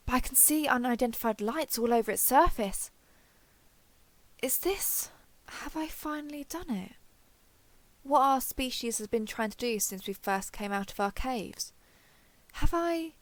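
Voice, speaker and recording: A woman's voice: on the high side, dry recording. A woman playing a wry alien explorer captain in an audio drama, dictating a log with casual, sardonic asides. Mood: astonished, doubting. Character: wry, weary alien spaceship captain, explorer, awed by discovery. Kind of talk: acted internal monologue as captain's log.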